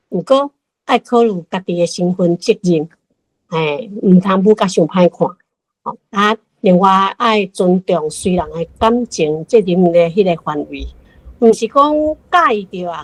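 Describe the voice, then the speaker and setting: A woman's voice: medium pitch, no room sound, poor audio. A woman with a Taiwanese accent giving a prepared, reflective commentary on a story as a guest.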